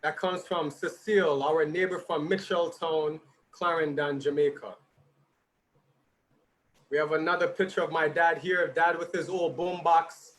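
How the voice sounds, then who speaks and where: A man's voice: fairly high pitch, fairly even intonation, measured pace, poor audio. A man hosting a birthday tribute for his father, reading out messages with chatty, informal asides as his voice grows tired. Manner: informal, chatty, slight stumbles. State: tiring, slightly hoarse voice.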